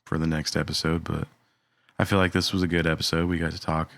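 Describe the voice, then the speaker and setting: Deep-voiced man, laid-back storyteller. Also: fast, gravelly, no room sound, studio quality. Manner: relaxed storytelling, many fillers. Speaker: podcast co-host. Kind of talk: casual chat.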